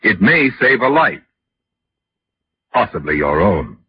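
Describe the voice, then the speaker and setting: A man's voice: lowish voice, big pitch swings, slow, heavy background noise. A man working as a 1940s radio announcer, reading a script in a formal, emphatic way. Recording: muffled old radio recording. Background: music.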